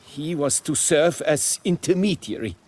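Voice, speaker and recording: A man's voice: on the high side, animated, measured pace, darkish tone, husky. An actor playing a proud but servile nobleman winemaker in a video game, speaking formally and with deference. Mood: contrite. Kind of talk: dramatic video game acting.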